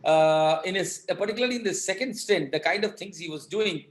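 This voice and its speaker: A man with an Indian accent speaks as a commentator being interviewed. His voice is notably high for a man, and he talks in halting, emphatic stretches with repeated words. The sound is very roomy and echoing. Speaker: political commentator.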